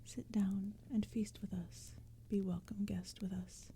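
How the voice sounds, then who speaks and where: A woman reading poetry as ASMR narration in a soft, breathy, hushed whisper, gentle and measured. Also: medium pitch, little pitch movement. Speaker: narrator and reader. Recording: background crackling fire and forest ambience. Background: crackling fire and forest sounds.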